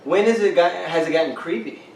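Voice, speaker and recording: A man hosting a casual podcast at home, joking and teasing his guest and laughing loudly. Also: high-pitched, fairly quick, gravelly, a loud crowd. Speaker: podcast host. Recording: roomy, distant mic with faint noise.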